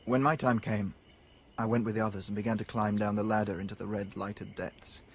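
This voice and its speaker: A man's voice: fairly low pitch, level tone, fairly quick, husky. An actor playing a lonely, earnest young man raised as an outcast, in a radio drama. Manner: wistful, earnest, wonder-struck. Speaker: the Savage. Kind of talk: BBC radio drama acting.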